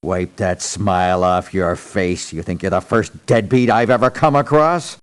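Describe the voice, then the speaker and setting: Slow, low-voiced haughty doctor mercenary. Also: male voice, smooth. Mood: indignant. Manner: haughty, formal, deliberate. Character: self-important male mercenary doctor, stern and mercenary-minded. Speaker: voice actor. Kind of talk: video game character voice lines.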